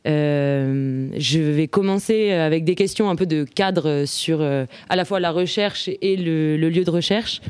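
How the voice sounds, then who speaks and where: A woman's voice: sits low, unhurried, hardly any pauses, a little mellow, clear, resonant tone, no echo. Hesitant woman moderating a talk. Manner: hesitant, many fillers. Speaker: event moderator.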